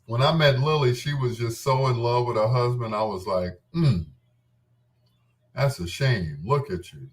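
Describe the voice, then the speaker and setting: A man's voice: mid-range voice, unhurried, recorded from a distance. A middle-aged man reminiscing casually in a rambling, candid and playful way, as the host of his own show. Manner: informal, candid, playful storytelling with self-quoting.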